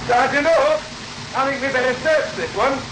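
An echoey, noisy old radio recording carries a stern, officious policeman. His voice is high for a man, clipped and suspicious, in the manner of 1960s suspense drama. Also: normal speed. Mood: commanding.

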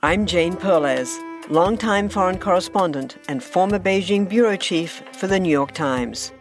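A woman's voice: on the low side, lively intonation, a little slow. A woman journalist narrating a scripted podcast promo as its host. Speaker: foreign correspondent and podcast host. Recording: music bed behind the voice.

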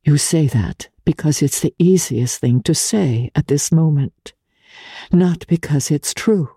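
Audiobook role: woman reader plays a dignified married lady giving up her lover, earnest and sorrowful, slow delivery, husky voice. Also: low-pitched, dark tone, no room sound, studio quality, heavily acted. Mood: firm, reproachful. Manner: measured, earnest, formal. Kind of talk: dramatic literary audiobook reading.

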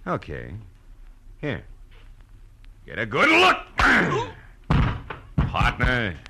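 A man's voice: medium pitch, wildly swooping pitch, extremely slow, gravelly, heavily acted. An American man playing a hard-boiled, sardonic private detective in a 1940s radio crime drama, with clipped, tough delivery. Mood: guarded. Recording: noisy vintage radio recording.

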